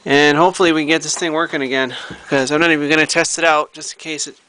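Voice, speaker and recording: A man talking casually and haltingly, thinking aloud as he shows a hobby repair project in a home recording. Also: fairly high pitch, steady tone, pushed and bright, smooth, rough recording. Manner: halting, thinking aloud while handling the object. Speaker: hobbyist vlogger showing a radio repair project. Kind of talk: casual unscripted show-and-tell narration. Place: indoors at home.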